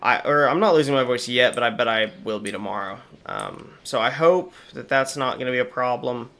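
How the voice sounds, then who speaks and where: A man's voice: medium pitch, fairly quick, fairly bright tone. A young man with a slightly sore voice, chatting casually through game commentary with wry asides. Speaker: gaming channel host.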